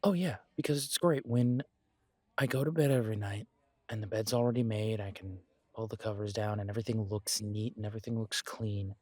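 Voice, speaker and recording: A man's voice: fairly low pitch, a little mellow, no room sound, lightly acted. A man playing a supportive, self-deprecating best friend in a conversational roleplay audio, talking in a reassuring, reflective way. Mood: encouraging. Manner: reassuring, chatty, reflective pauses. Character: warm, encouraging male best friend, self-deprecating, gently earnest.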